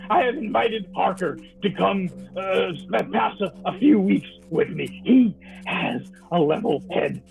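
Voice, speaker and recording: Casting: male actor as tormented diarist for radio play, high register, very creaky, slow and theatrical, on the edge of madness. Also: expressive, darkish tone, exaggerated voice. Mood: desperate. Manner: theatrical, halting, archaic literary diction. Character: haunted, sleepless man fearing for his sanity. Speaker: actor in a live audio drama. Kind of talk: old-time radio drama monologue, read as diary entries. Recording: thin phone-like audio with faint music.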